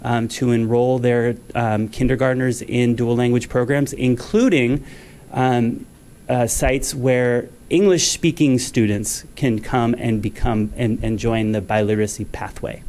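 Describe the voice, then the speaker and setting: A man in his forties presents a resolution to a school board from a podium microphone. His voice sits at an ordinary middle pitch. He reads formally, dropping frequent ums between clauses. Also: fairly even intonation, unhurried, darkish tone. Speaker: school district director. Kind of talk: reading a resolution at a board meeting. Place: school board meeting room.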